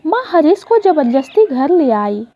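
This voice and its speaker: A woman's voice: high voice, very animated, a little slow, mellow, muffled recording. A woman storyteller narrating an emotional moral story dramatically.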